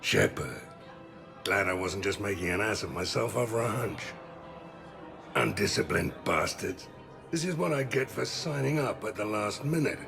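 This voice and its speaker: An actor playing a gruff, cynical older mercenary in a video game, gravelly and curt. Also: male voice, on the low side, lively intonation, moderate pace, over music. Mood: wary, grudging. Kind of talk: dramatic game voice acting.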